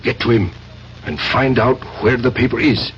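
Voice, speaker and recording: An actor playing a terse, commanding French conspirator with a French accent, in the style of 1970s radio drama. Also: male voice, medium pitch, moderate pace, natural voice. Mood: urgent, scheming. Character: commanding French male conspirator posing as a waiter. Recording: dull noisy vintage radio, music.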